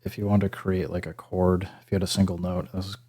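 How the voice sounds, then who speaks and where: A man's voice: little pitch movement, fast, warm tone, breathy edge. A man hosting a casual hands-on music gear tutorial, thinking aloud in a hesitant, self-deprecating way. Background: synth pad and bass sample demo sounds.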